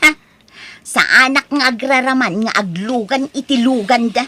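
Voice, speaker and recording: A woman's voice: fairly high pitch, normal speed, pushed and bright. A woman acting an envious, gossiping married neighbour in an Ilocano audio drama, brash and needling. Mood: accusing, insistent.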